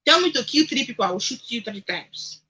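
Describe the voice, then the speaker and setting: A woman's voice: a little high, sing-song, fairly quick, sharp tone, husky. A woman in a conversational radio discussion, opinionated, telling stories with quoted dialogue and rhetorical questions. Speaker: radio talk show guest.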